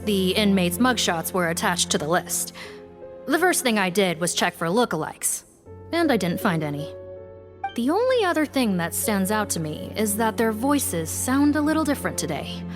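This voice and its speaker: A brisk female guard with a mid-pitched, very animated voice and a blunt, confident edge, as a woman acts her out. Faint game music plays behind her. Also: natural voice. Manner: blunt, confident, lively delivery. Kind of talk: video game voice acting.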